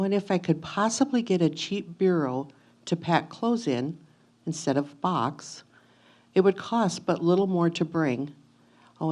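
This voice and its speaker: A woman's voice: low voice, mellow. A woman playing a devoted, anxious 1850s wife, reading her letter to her husband in an earnest, tender, old-fashioned manner for reader's theatre. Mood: practical, eager.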